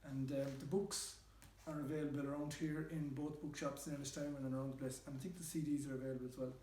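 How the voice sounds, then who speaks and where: A man's voice: medium pitch, level tone, quick pace, mellow, reverberant, a little hiss or hum, rough recording. A man with a west of Ireland accent guiding an informal literary tour as a storyteller. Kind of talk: informal guided-tour talk with readings aloud.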